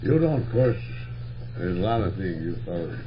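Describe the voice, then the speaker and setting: An older man with a low voice shares casual recollections in a folksy, rural way of speaking. The old reel-to-reel recording is dull, with strong noise and hum over it. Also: big pitch swings, moderate pace. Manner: folksy rural speech, plain country grammar. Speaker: interviewee recalling local history. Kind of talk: casual oral history reminiscence.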